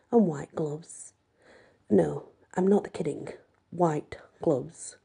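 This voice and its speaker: A woman's voice: fairly low pitch, vocal fry, warm tone, husky. A woman reading a first-person audiobook story in a sardonic manner. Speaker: audiobook reader.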